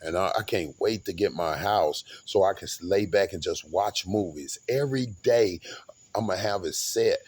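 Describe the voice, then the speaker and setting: Rambling low-voiced man in his forties. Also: moderate pace, husky, no echo. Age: 40s. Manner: grandiose, rambling, repeats and restarts. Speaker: vlogger. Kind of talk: rambling monologue.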